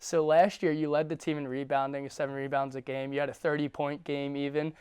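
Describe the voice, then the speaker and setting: Crisp-voiced young sports show host. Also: male voice, fairly high pitch, level tone, a little fast, darkish tone, dry recording, studio quality. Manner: fluent, polished presenter delivery. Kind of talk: scripted interview questions. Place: studio set.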